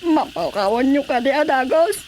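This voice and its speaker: A woman's voice: high-pitched, moderate pace, darkish tone. A woman playing a distraught, plaintive wife struggling to forgive, in an Ilocano radio drama. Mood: troubled pleading. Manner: plaintive, pleading. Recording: faint background noise.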